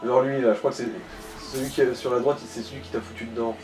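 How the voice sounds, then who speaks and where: A man's voice: medium pitch, flat delivery, fast, far from the mic. A man giving casual, crude co-commentary and tips during a video game. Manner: crude, sweary banter. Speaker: let's play co-commentator. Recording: roomy echo, game music underneath.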